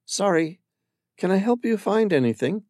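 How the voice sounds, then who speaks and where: A man's voice: high voice, animated, a little mellow, no echo, studio quality, pitched above the performer's own voice. Man voicing a guarded, determined woman. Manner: wary, quick to shut down.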